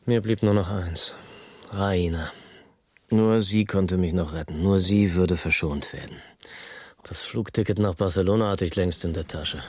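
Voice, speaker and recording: An actor playing a hard-boiled crook who narrates his own story in a German radio drama, with a husky voice. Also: low-pitched, a little slow, darkish tone. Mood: grim. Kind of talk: noir first-person narration.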